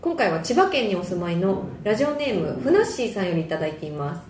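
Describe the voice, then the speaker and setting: A woman's voice: medium pitch, sing-song, fast, reverberant, a little hiss or hum. A woman hosting a radio talk show, attentive and reactive, introducing listener questions. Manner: attentive, lots of listening murmurs, bright reactions. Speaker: radio host.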